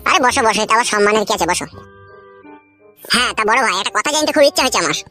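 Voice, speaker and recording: Guy doing a pushy generous householder in a funny cartoon, talks super fast, music underneath. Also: sits high, expressive, sharp tone. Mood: hospitable. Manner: insistent, chatty. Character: generous, inquisitive, well-off village householder. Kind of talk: comic cartoon dialogue.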